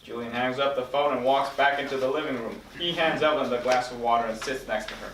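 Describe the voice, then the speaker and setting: A man reads stage directions as scripted narration. His voice is of average pitch. It sounds echoey and somewhat distant from the microphone. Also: steady tone, quick pace, a bit bright, slight background noise. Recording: echoey room, distant mic.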